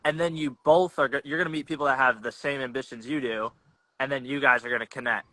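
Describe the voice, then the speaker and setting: Young male podcast host, slangy and easygoing, forceful bright tone, close dry sound. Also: mid-range voice, fairly even intonation, quick pace, no echo, low-quality recording. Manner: laid-back, slangy, rambling with restarts. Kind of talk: casual chat.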